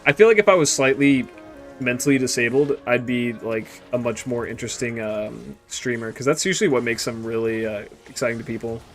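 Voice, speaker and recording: A man's voice: mid-range voice, fairly quick, soft background music. A man in his twenties chatting casually on a game stream, rambling and joking crudely, often trailing off into mutters. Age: 20s. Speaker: gaming streamer. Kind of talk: casual stream chat while gaming.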